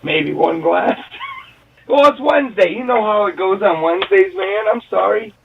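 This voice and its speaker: Man acting very high-pitched, creaky, aggrieved tipsy guy. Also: moderate pace. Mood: sheepish. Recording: dull, distant, radio-like, faint noise.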